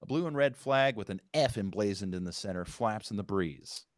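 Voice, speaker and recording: A man's voice: lowish voice, expressive, normal speed, no room sound, studio quality. A man giving scripted narration, reading out the stage directions at a table read.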